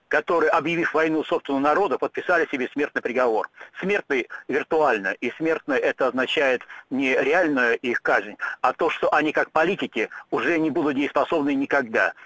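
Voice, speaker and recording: A man answering questions in a radio interview, speaking in firm, emphatic statements broken by frequent "uh" pauses. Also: fairly high pitch, fairly bright tone, no echo, low-quality recording.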